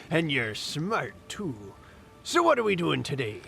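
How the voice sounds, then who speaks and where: A man's voice: on the high side, huge pitch swings, slow, gravelly, pushed and bright, faint music behind, exaggerated voice. A man playing a cocky pirate captain who drawls and taunts in an audio adventure skit. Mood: mocking. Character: cocky, mocking male pirate captain, rival brother.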